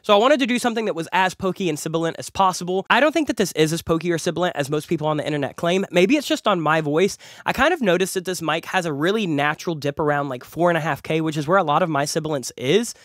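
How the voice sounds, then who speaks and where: Fast-talking man with a slight cold. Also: fairly high pitch, a bit bright, no room sound, studio quality. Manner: enthusiastic, chatty, repeats words for emphasis. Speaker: music producer and YouTube host. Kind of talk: casual gear review talk. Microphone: large studio condenser close up.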